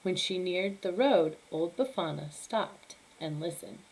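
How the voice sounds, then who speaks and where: A woman's voice: fairly low pitch, expressive, unhurried, rough recording. Woman reading a children's story expressively.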